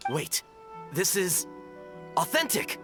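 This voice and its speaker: Earnest male guard, deferential, formal, lively intonation, sharp tone, game dialogue, light music. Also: high-pitched, slow pace, heavily acted. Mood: surprised. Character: dutiful, deferential palace guard, earnest and loyal. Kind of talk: anime video game voice acting.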